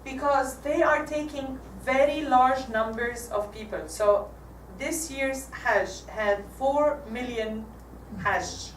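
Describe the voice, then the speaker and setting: A woman's voice: high-pitched, far from the mic. Egyptian woman in her 40s giving a talk, lots of restarts, echoey hall, faint hum behind. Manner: halting, many restarts. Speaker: guest speaker. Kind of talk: casual talk with slides. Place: church hall.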